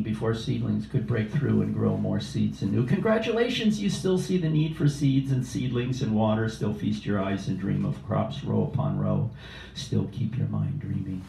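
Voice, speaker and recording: A man in his sixties reading poetry live at an open mic in a measured, deliberate way, with casual asides. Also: fairly low pitch, gravelly, dark tone. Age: 60s. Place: small indoor cafe open mic. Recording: roomy, distant cafe live stream with noise.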